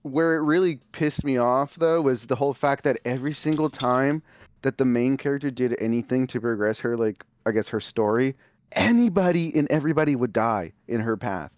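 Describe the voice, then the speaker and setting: A man's voice: mid-range voice, a little fast, no echo. A man co-hosting a podcast in casual, rambling, sweary talk, repeating words as he goes, on a slightly dull recording. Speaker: podcast co-host. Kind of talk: casual chat about TV and games.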